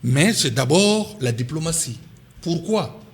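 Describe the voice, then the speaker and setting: This is a Congolese man giving political commentary as an interview guest. His voice sits a bit high and swings widely as he drives his points home. He is insistent, repeating himself for emphasis. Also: expressive, unhurried.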